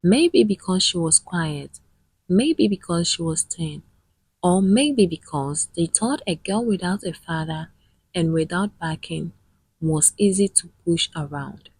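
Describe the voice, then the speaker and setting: A woman narrating a scripted dramatic tale in a measured, solemn manner with dramatic pauses. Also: lowish voice, lively intonation, darkish tone, husky, dry recording, rough recording. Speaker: storyteller, narrator.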